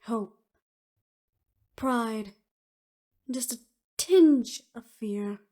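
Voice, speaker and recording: A woman's voice: a little high, extremely slow, dark tone. Woman playing a teasing, sardonic estranged friend. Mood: wry, musing. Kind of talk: audio roleplay acting.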